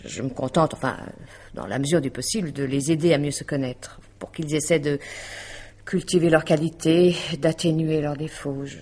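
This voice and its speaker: An actress playing a composed, persuasive astrologer in a French radio drama, speaking in a measured, explanatory way. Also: female voice, low-pitched, a little mellow, breathy edge. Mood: calm, explaining. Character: composed, persuasive female astrologer consulting a client. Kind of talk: 1950s-style French radio drama acting.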